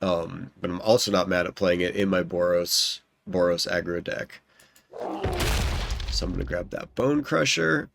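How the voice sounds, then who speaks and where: A man's voice: low voice, moderate pace, vocal fry, background noise. A young man with a stuffed-up nose chatting casually while he plays, rambling and often restarting his sentences. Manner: rambling, self-correcting, lots of ums and likes. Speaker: gaming YouTuber. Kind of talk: casual commentary while drafting a card game.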